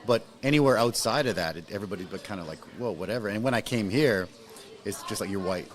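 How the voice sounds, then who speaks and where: A man from Canada chatting as a podcast guest, his voice sitting fairly low. He talks fast and loose, tripping over and repeating words at the start of his thoughts. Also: crowd noise. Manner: repeats words, quick and conversational. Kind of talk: casual chat.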